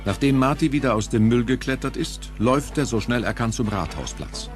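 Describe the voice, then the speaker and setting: A man's voice: medium pitch, brisk. A man reading scripted narration for an audio drama as its storyteller. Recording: narration mixed over music and effects.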